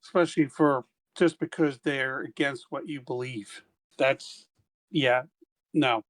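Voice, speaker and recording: A man playing a tabletop game, speaking in character as a squad commander in halting phrases with frequent restarts. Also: mid-range voice. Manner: halting, restarts mid-sentence. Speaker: player.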